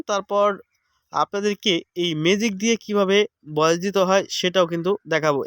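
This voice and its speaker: A man guides viewers step by step as a tutorial host. His voice is high and clear, recorded dry with no room sound. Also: no echo.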